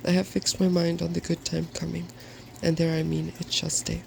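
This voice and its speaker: A man voicing a cheerful, wise, weary dragon in a soft-spoken storybook reading, polite and measured. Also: fairly high pitch, monotone, gravelly, slight background noise. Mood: undaunted.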